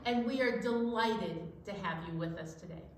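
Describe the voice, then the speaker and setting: A woman's voice: mid-range voice, normal speed. A woman hosting a children's storytime, speaking playfully and with exclamations to young listeners. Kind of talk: bright, child-directed hosting with questions. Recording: roomy, distant mic with faint noise.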